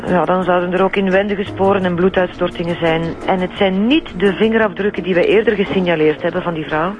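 A woman's voice: on the low side, steady tone, fairly quick, over music, natural voice. An actress with a Flemish accent playing a friendly, matter-of-fact female forensic examiner in a radio drama. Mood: friendly, businesslike.

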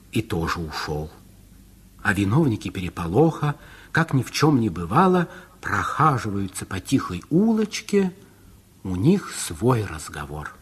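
A man's voice: fairly low pitch, a bit bright, husky. A man narrating a radio play in a scripted storytelling style.

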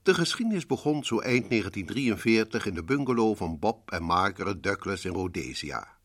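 Husky, low, sinister storyteller. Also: male voice, very animated, normal speed. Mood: sinister, mock-cosy. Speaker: host and narrator of a suspense radio series. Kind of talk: dramatic radio horror narration. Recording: old radio drama recording.

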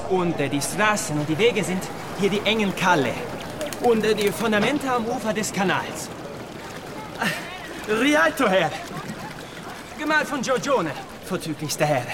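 An actor playing a pushy, obsequious Venetian guide and pimp, hesitant over foreign words, in a radio drama. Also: male voice, high-pitched. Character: fawning, pushy Venetian street guide and pimp touting to travellers.

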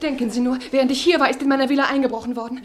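A woman's voice: sits high, steady tone, rapid-fire, lightly acted. An actress in a radio play, playing an agitated, helpless society lady who demands help. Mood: agitated, pleading.

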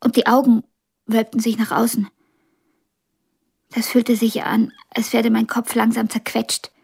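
An actress in a radio drama playing a blind young pianist, wounded yet ambitious and frank, with a halting, intense delivery. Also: female voice, on the high side, monotone, vocal fry, a little mellow, breathy edge, full character voice. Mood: pained recollection.

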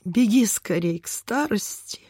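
A woman's voice: medium pitch, animated, unhurried, darkish tone, slightly rough, no echo, clean studio sound. A woman playing a kind-hearted, flustered, pious landowner lady in a radio play, sighing and fussing in a motherly way. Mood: fussing, caring.

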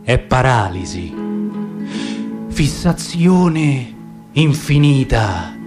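A man performing a slow, lyrical, declamatory poetic monologue for radio theatre. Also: medium pitch, big pitch swings, music in the background.

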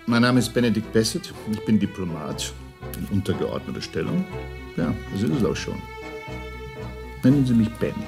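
An actor playing a forward, flirtatious minor diplomat in a radio play, playful and casually probing. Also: male voice, lowish voice, big pitch swings, moderate pace, gravelly, pauses often, music in the background. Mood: courting.

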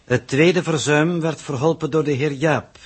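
A man's voice: a little high, measured pace, husky. A man playing a cold, exacting spaceship coordinator in a 1960s radio drama, speaking in formal, precise officialese. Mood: cold reproof. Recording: old 1960s radio recording.

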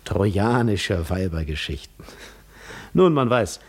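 A wry, seasoned war hero tells his story in a lowish voice. He is reflective and ironic, letting dramatic pauses hang before he swells with mockery, in the manner of a 1950s German radio play. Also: sing-song, slightly rough, full character voice. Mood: scornful, amused. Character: wry seasoned male war hero recalling old adventures.

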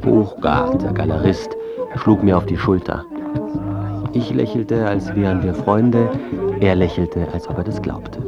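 An actor playing a pushy, self-important, scheming journalist who also narrates, by turns flustered, ingratiating and smug, in a radio drama. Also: male voice, low-pitched, a little slow, highs cut off, loud music behind the voice. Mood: smug, calculating. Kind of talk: radio drama acting with first-person narration.

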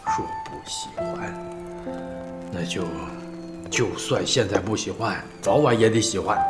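A man playing a blunt, teasing guardian in an audio drama, with a coarse, needling way of talking. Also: fairly low pitch. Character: blunt, teasing male guardian of a younger friend. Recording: loud music under the voice.

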